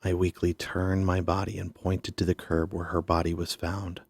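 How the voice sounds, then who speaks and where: A man reading scripted first-person audio drama narration in a brooding, measured manner. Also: sits low, monotone, gravelly, a little mellow, slightly rough, dry recording, clean studio sound.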